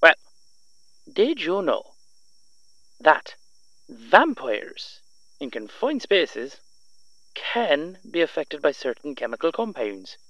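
A man's voice: a little high, very animated, slow pace, pauses often, a bit bright, dry recording, full character voice. Man playing a taunting young half-vampire villain, muffled. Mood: smug, taunting. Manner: theatrical, sardonic, taunting. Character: young half-vampire son, smug, vengeful, taunting in a recorded message. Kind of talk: audio roleplay villain monologue.

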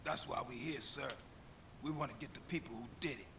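An actor playing a boastful, philosophizing young American gangster in a video game. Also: male voice, mid-range voice, a little fast, background noise, natural voice. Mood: earnest.

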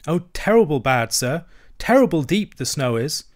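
A man's voice: on the high side, very animated, normal speed, pitched above the performer's own voice. A man voicing a young hedgehog in a storybook, respectful and earnest, with humble rustic speech. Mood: respectful, eager. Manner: deferential, rustic ungrammatical speech.

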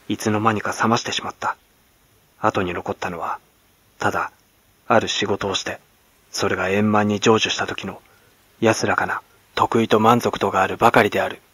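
A man from Kagoshima, Japan, narrating classic literature as a dramatic audiobook in a grave, measured manner. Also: on the low side, little pitch movement, a bit bright, slightly rough, dry recording. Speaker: actor, narrator. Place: at home, close and dry.

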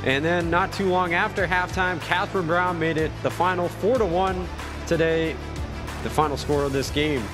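A man's voice: a little high, steady tone, normal speed. Upbeat man sports broadcaster over music. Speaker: play-by-play broadcaster and interviewer. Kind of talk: sports broadcast, sponsor reads and wrap-up.

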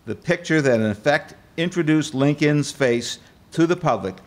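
An older man playing a formal nineteenth-century political delegate, reading a first-person account on stage with measured delivery and occasional stumbles. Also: on the high side, lively intonation. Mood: measured, earnest. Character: formal 19th-century Republican delegate recounting events. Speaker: amateur actor reading a historical part. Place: auditorium stage before an audience. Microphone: microphone on a stand.

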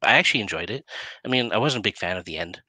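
A man's voice: lowish voice, expressive, racing, sharp tone, dry recording. A middle-aged man chatting casually as an interview host in a rambling, self-interrupting way. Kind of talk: casual chat. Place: indoor room, video call. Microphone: headset.

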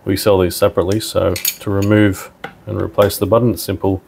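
A man's voice: low-pitched, level tone, brisk, vocal fry, darkish tone, a little hiss or hum. A man narrating a hands-on product tutorial in an informal, step-by-step way. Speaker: product demonstrator.

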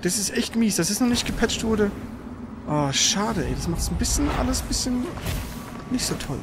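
A high-voiced man comments live on the video game he is playing. He talks casually to a co-player, dropping exclamations, curses and grumbles, with clear noise behind him. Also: expressive, normal speed. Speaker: gaming streamer playing and commenting.

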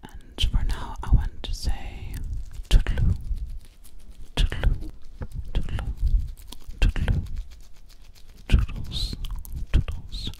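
Young female ASMR host, breathy close-mic whisper, extremely slow and soothing delivery. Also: mellow. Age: young adult. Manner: slow, soothing, repeating words and mouth sounds. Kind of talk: ASMR personal-attention roleplay. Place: small room, indoors. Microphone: close-up microphone right at the mouth.

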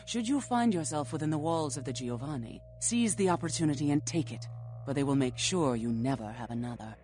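A woman's voice: low voice, lively intonation, brisk, mellow, faint music behind. A woman playing a morbid, scholarly vampire in a video game, speaking in formal, solemn, archaic phrases with cold philosophy. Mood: measured, scholarly. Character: morbid scholarly female vampire flesh-eater, coldly philosophical. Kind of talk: scripted video game dialogue.

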